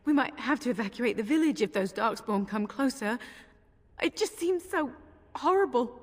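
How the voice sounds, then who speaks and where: Young village woman, grieving and earnest; higher voice, long reverb, fantasy game. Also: animated, normal speed. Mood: sad, grateful.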